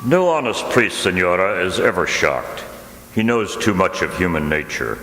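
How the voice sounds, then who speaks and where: A man acting in a radio drama as a grave, dutiful older clergyman, earnest, measured and admonishing. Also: low voice, animated, pushed and bright, heavily acted. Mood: grave pleading. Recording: echoey hall with faint noise.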